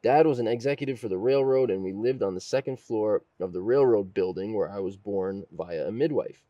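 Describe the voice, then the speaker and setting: Low-voiced man chatting on a podcast. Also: fairly quick, warm tone, no room sound, muffled recording. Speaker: podcast host. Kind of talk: casual podcast chat.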